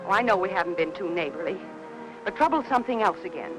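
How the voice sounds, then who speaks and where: An actress playing a kindly, solicitous neighbor woman in a mid-century film noir, speaking in a gentle, concerned way. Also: fairly low pitch, lively intonation, fast, over music, lightly acted, low-quality recording.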